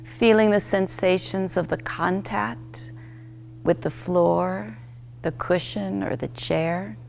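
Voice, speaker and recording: A woman teaching a guided meditation, speaking in gentle, unhurried instruction with short, spaced phrases. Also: lowish voice, creaky, slight background noise.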